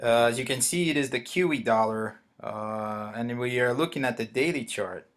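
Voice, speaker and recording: A man with a Brazilian Portuguese accent talks through a chart with many pauses and restarts. His pitch sits in the middle of the male range. He speaks English as a second language, carefully and without polish, as if recording at his desk. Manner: hesitant, many fillers and restarts. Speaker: forex trader. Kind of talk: screen-recorded trading walkthrough.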